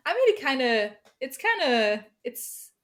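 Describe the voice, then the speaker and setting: A woman's voice: high-pitched, very animated, a little slow, fairly bright tone. A woman from Germany whose first language is German, speaking English with a German accent as a guest writer in a casual podcast chat, full of fillers and laughs. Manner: chatty, many fillers and laughs.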